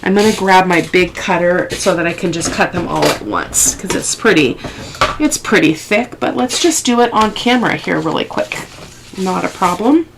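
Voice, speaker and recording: A middle-aged woman with a fairly low voice talks through a craft project at her table. She sounds sniffly from a cold and rambles casually, pausing to think aloud. There is clear noise in the recording, with small clicks. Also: expressive. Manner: unhurried, halting, thinking aloud while working. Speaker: crafting tutorial host.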